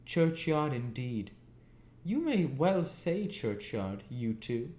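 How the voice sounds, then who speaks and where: A man's voice: mid-range voice, big pitch swings, slow pace, dark tone, voice pushed up. A man voicing a furious, nagging working-class woman scolding her family in a storybook reading. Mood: bitter nagging. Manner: exclamatory, nagging. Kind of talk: audiobook character reading. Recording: roomy echo, faint background noise.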